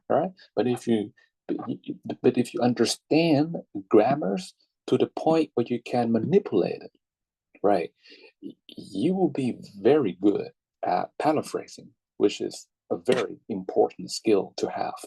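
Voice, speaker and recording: A young Thai man gives a relaxed online lecture, his low voice gravelly and husky. He talks in a loose, friendly way, stacking fillers and asking "right?" after his points. The sound is dry and close, as on a computer mic. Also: dry recording, low-quality recording. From Thailand. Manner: chatty, filler-heavy, checks in with "right?" and "okay?". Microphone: computer mic on video call.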